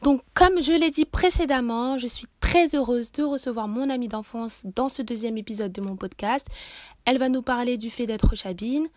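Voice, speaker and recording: A woman's voice: a little high, moderate pace, a little mellow. A young woman hosting a podcast interview, asking long, earnest questions with frequent fillers. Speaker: podcast host.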